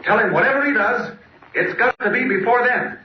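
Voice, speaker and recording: High-pitched decisive hero, old radio. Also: male voice. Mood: urgent, decisive. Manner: brisk, commanding. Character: sharp, decisive male reporter hero, urgent and brave. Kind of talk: 1940s radio serial acting. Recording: dull old radio recording, faint noise.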